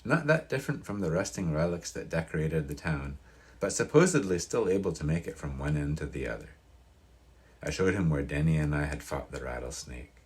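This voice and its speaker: Audiobook narrator: male, very deep bass register with a rough husky edge, reading fiction aloud. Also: animated, fairly quick.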